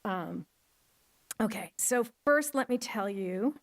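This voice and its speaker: Chatty woman speaker with a gravelly edge. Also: medium pitch, animated, slow. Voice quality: loud voice. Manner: chatty, enthusiastic, many fillers. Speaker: hospital risk manager and attorney. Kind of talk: conference talk. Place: large lecture auditorium.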